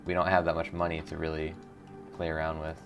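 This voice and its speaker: A man's voice: extremely low pitch, monotone, fairly quick, faint music behind. A man hosting a game playthrough, reading narration and adding his own commentary. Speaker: gaming channel host. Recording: clear background noise.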